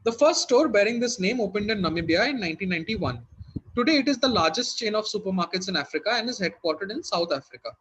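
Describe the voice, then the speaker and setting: A man's voice: high-pitched, expressive, quick pace, a bit bright, slight background noise. A man working as a quizmaster, reading out questions and hosting in a conversational way.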